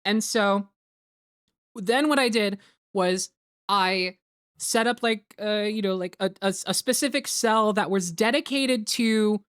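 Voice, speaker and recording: A woman's voice: mid-range voice, takes it slowly, fairly bright tone, smooth, no echo, clean studio sound. A woman hosting a group commentary, explaining things in casual chat, rambling with lots of "like" and some swearing. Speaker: host of a group commentary on a fan dub.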